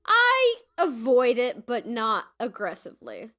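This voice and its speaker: High-pitched woman gamer, unhurried and animated. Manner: playful, describes actions informally. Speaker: player in a tabletop game. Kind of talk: casual game chat. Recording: music bed behind the voice.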